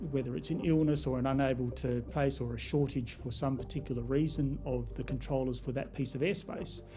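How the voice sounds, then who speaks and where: A middle-aged Australian man speaks as a chief executive in a news interview, choosing his words deliberately and formally. His voice has a mellow, warm tone at a middle pitch. Faint music sits behind him. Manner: deliberate, formal, corporate phrasing. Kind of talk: interview answers.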